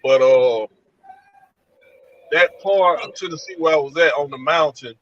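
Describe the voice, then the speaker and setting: A man from Georgia telling of a frightening night encounter over a call from his van, in animated, colloquial speech. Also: a little high, a little slow, dull audio, a little hiss or hum. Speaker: guest telling an encounter story. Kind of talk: casual storytelling over a call.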